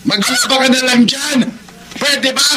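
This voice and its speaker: Philandering Filipino husband for radio drama: high-pitched, blustering, defensive, over a noisy track. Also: male voice, fairly even intonation, unhurried. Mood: irritated. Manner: dismissive, blustering, evasive.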